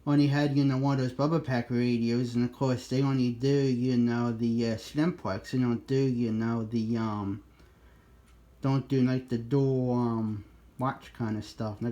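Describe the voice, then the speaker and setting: A man with a mid-range voice talks casually as a radio hobbyist on a two-way exchange. His delivery is halting, and he often interrupts himself and starts again. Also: mid-range voice, little pitch movement, warm tone. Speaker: amateur radio operator.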